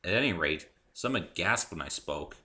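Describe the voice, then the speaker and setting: A man's voice: sits low, expressive, moderate pace, pushed and bright. A man reading a first-person story aloud as a dramatic narration. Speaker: amateur reader and author of the story.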